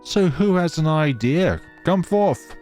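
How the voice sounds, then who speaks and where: A man's voice: on the high side, lively intonation, takes it slowly, darkish tone, soft background music, pitched above the performer's own voice. A man voicing a menacing, leering ragged gang leader in a horror visual novel. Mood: commanding.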